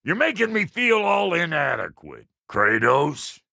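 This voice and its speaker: Loud scrappy video game dwarf smith, american, gravelly and brash, pitch jumping all over. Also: male voice, huge pitch swings, unhurried, dry recording, studio quality, exaggerated voice. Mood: gruff, grumbling. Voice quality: gravelly, rough. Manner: brash, folksy, profane. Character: foul-mouthed, cocky, gruff dwarf blacksmith. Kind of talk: video game character voice lines.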